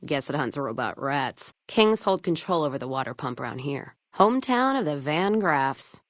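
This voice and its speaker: An American woman playing a jaded, hard-drinking, foul-mouthed caravan trader in a video game, with a gruff, sardonic, folksy manner. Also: low-pitched, big pitch swings, moderate pace, no room sound, studio quality. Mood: sardonic, wary. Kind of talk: video game companion voice lines.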